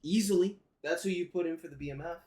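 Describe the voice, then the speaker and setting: Brief: male podcast co-host, slightly high voice, rambling jokey banter. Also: fast. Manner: rambling, joking, animated banter. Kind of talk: casual chat about fights.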